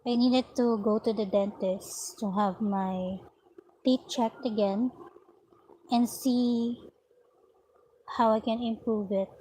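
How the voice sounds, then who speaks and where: A woman vlogging about her crochet work in an unhurried, chatty way, her voice slightly raspy. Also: a little high, steady tone, warm tone, a little hiss or hum, poor audio. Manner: unhurried, chatty, talks while working. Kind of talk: slow casual vlog narration while crocheting. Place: at home, indoors.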